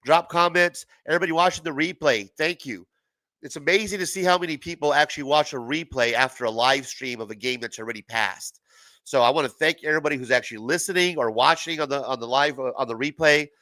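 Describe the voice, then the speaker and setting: A congested man in his forties runs a casual live watch-party stream, mixing play-by-play with chatter and the odd curse. His voice comes across bright and forward. The sound is close and dry, with no room around it. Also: on the high side, fast talker, dry recording, low-quality recording. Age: 40s. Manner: loose, profane, chatty, laughs at his own jokes. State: congested from a cold.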